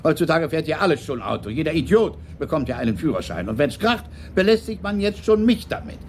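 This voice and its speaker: An irascible older police chief in a radio crime drama. The raised voice moves about animatedly, full of grumbling and stuttered repeats. A faint noise sits on the recording. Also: male voice, on the high side, big pitch swings, brisk. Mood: irritated, blustering. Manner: blustering, grumpy, stammering repetitions. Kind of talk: 1980s German radio play acting.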